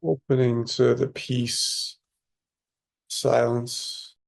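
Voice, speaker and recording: A man guides a meditation in a calm voice with a good deal of vocal fry. His delivery is very slow and level, with little movement in pitch. He leaves long, unhurried pauses between short phrases. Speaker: meditation teacher.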